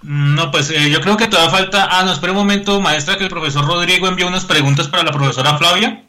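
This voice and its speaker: A man's voice: fairly high pitch, fast talker, sharp tone. A man, politely moderating a panel on a video call. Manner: polite, formal address. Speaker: program director and moderator.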